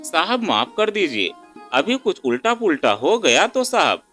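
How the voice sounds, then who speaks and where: A man's voice: sits high, big pitch swings, a little slow, sharp tone, faint music behind, voice pushed up, heavily acted. A male storyteller voicing a humble household servant who pleads with his master. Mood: pleading. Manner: pleading, deferential.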